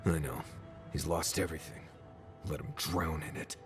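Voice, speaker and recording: Deep-voiced, embittered adventurer. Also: male voice, fairly even intonation, moderate pace, music in the background. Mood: weary, reflective. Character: embittered male adventurer, blunt, wounded, hard-edged. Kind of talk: video game cutscene acting.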